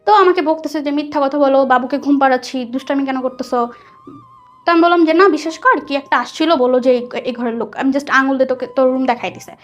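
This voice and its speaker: A woman with a Bangladeshi accent telling a personal story conversationally, animated and dropping in English words. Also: high-pitched, fast talker. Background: soft background music.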